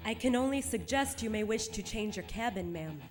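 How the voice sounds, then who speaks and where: A woman's voice: mid-range voice, expressive, fairly quick, soft background music, close to an everyday voice. Deferential female airship attendant played by an actress. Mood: polite. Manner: polite, submissive. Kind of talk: radio drama acting.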